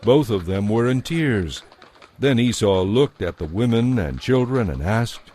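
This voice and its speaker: A man narrating a dramatized audio Bible in a measured, scripted storytelling style. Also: on the low side, expressive, a little mellow, a little hiss or hum.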